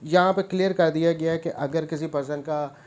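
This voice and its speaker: A man's voice: fairly high pitch, fairly even intonation, moderate pace, a little mellow. A man with a Pakistani accent gives an explanatory law lecture, reading statutes aloud, then rephrasing the points and mixing in English terms. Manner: repeats and rephrases points, mixes English terms into his speech.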